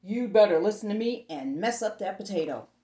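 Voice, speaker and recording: A woman voicing a bossy male mud monster in a storybook, booming, blunt and pushy. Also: lively intonation, a little fast, mellow, echoey room, natural voice. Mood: bossy, pleased. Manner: blunt, insistent, taunting.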